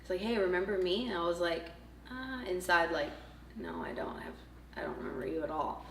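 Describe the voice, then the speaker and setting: Wry woman, low voice, roomy sound. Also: a little fast. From Montreal, Canada. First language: French. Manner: relaxed, wry, laughing along. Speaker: professional choreographer. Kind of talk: casual storytelling. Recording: roomy, distant mic with faint noise.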